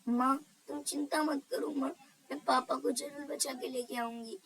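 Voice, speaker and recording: Cartoon daughter, acted by an adult woman: brave, devout girl, insistent and challenging, with a bright, forceful delivery. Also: sits high, fairly even intonation, unhurried, a little hiss or hum, pitched above the performer's own voice. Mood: earnest concern. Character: brave, defiant, devout young daughter seeking her father.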